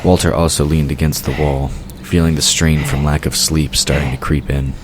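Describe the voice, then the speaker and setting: A man with an extremely low voice delivers scripted narration for a fantasy audio drama. Ambient sound effects run clearly behind his voice. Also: flat delivery, moderate pace. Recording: clearly noisy background ambience. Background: outdoor ambience sound effects.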